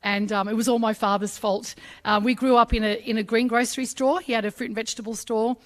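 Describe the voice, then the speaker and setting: A middle-aged woman with an Australian accent, whose first language was Arabic, speaks at a ceremony. Her voice sits a little high, and she talks in a chatty, heartfelt way, acting out the voices of people in her stories. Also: steady tone, fast. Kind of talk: personal speech at a public ceremony.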